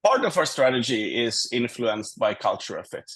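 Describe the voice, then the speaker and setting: A man from Greece talking conversationally as a consultant and guest in a business interview, candid and measured, laying out his points in order. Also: mid-range voice, sing-song, a bit bright, slightly rough.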